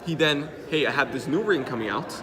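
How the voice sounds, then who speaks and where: Brief: quick-talking Dominican-accented marketing host, emphatic and punchy, crowd chatter behind. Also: male voice, mid-range voice. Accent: Dominican Spanish. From Dominican Republic. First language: Spanish. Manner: energetic, emphatic, repeats key points. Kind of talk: conversational coaching.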